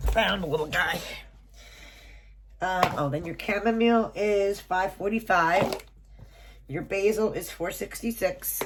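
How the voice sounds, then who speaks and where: A woman's voice: lowish voice, noisy background. A woman with a stuffy, nasal voice as if getting a cold, unboxing a subscription box at home, reading out items and prices with chatty asides. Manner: reads item lists and prices, chatty asides. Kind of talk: casual unboxing, reading from a booklet. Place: small room at home.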